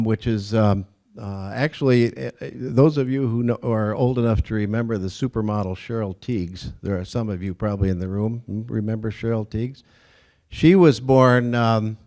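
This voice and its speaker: Folksy older man, low voice. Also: darkish tone. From Minnesota, USA. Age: 60s. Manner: folksy, self-deprecating, many "uh"s. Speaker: Rotary district governor, guest speaker. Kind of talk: speech at a club lunch.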